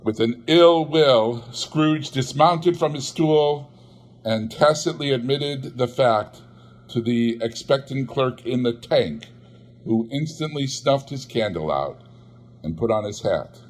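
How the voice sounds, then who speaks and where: A man reading a classic novel aloud in measured storytelling narration. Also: medium pitch. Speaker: audiobook reader.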